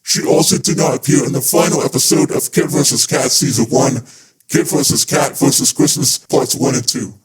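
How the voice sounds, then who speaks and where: A man's voice: medium pitch, fairly even intonation, normal speed, rough recording. A man hosting a fan podcast, reading scripted narration. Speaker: podcast host.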